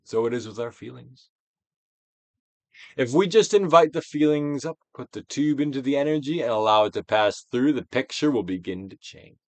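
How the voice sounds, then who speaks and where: A man giving a dramatic, Shakespearean-style reading of a self-help book, deliberate and theatrical, with drawn-out emphasis. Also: lively intonation, no echo. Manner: theatrical Shakespearean delivery, swinging from hushed to booming. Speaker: audiobook reader.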